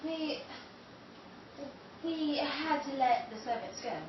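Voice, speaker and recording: A woman's voice: sits high, takes it slowly. A woman playing a genteel, insinuating co-conspirator in an audio drama, with an affected theatrical delivery. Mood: defensive. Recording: very echoey, muffled, noisy distant recording.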